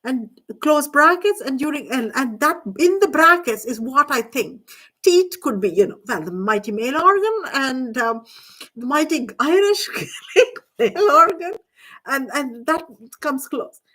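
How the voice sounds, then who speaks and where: A woman's voice: sits high, expressive, measured pace, fairly bright tone, recorded from a distance. An older woman vlogger talking in a rambling, hesitant way, full of fillers and restarts. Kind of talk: rambling casual monologue.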